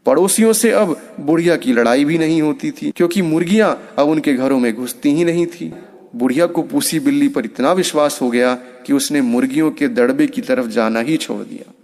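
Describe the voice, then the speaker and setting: A man's voice: a little high, darkish tone, big-room sound. A man narrating a scripted moral story for children as a storyteller.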